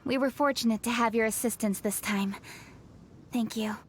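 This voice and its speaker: Woman acting a serious dutiful young lady official, highish voice, formal, faint noise behind, anime game. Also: natural voice. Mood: earnest, grave. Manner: measured, formal, reporting.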